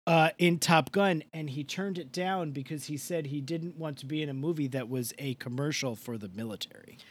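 A man's voice: a little high, normal speed, slightly rough. A man co-hosting a casual chat show, talking loosely and profanely, often breaking into mocking voices. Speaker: podcast co-host.

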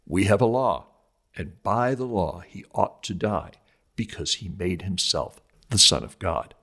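A man's voice: on the low side, gravelly, a bit bright, husky. A man reading a scripted theological text aloud as host, in a solemn, formal, oratorical manner with emphatic phrasing.

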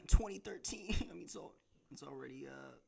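A man's voice: a little high, expressive, normal speed, creaky, a little mellow, slightly rough. A young man from Tennessee co-hosting a casual podcast, rambling and laid-back, laughing often. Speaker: podcast co-host. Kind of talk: casual chat. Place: apartment in the Bronx. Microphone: handheld recorder mics.

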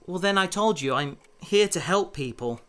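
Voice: mid-range voice, lively intonation, moderate pace, a bit bright, slight background noise, close to an everyday voice. An actor playing an earnest, nervous, idealistic rookie researcher in an audio drama, hesitant and polite. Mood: earnest, defensive. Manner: hesitant, polite, lightly defiant.

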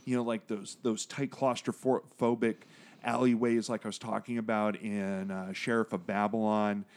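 A man's voice: on the low side, level tone, moderate pace, darkish tone. A man co-hosting a casual podcast, rambling through digressions with lots of fillers and jokey, profane asides. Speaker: podcast co-host. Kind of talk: casual podcast chat about comics.

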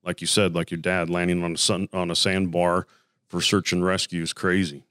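A man with a deep voice hosts a casual interview, easygoing and admiring as he chats with his guest. His tone is smooth and resonant, recorded dry and close. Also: level tone, normal speed, fairly bright tone, no room sound. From Arizona. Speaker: podcast host.

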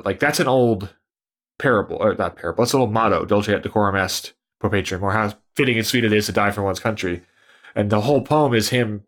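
Low-voiced man in rambling casual chat. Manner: rambling, self-correcting, lively.

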